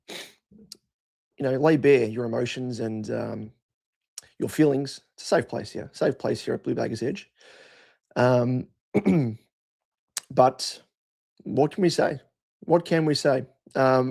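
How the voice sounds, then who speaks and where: An Australian man with a croaky voice, hosting a fan show and giving casual, rambling post-match commentary with hesitant pauses and repeated phrases. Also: medium pitch, a little mellow. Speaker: host of a football fan show.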